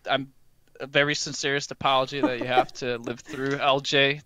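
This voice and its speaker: A man's voice: mid-range voice, flat delivery, normal speed, over a noisy crowd. A man chatting casually as a podcast panelist, circling his way hesitantly through his phrases. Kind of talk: casual chat.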